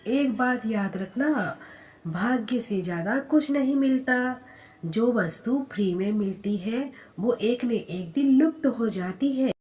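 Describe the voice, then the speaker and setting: A benevolent god of a cartoon fable, voiced by a woman, speaks in solemn, calm pronouncements. The delivery is quiet and unhurried, with a slightly lifted pitch. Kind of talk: Hindi cartoon story dubbing.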